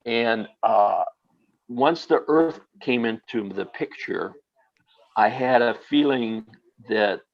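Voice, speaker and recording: A man telling a personal experience, speaking slowly and haltingly with many fillers. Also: lowish voice, dull audio. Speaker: guest.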